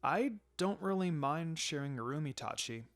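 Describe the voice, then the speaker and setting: A man's voice: fairly high pitch, lively intonation, normal speed, fairly bright tone. A man voicing a playful, teasing young woman in an anime fanfiction story. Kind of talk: dramatized fanfiction storybook reading. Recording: music behind the voice.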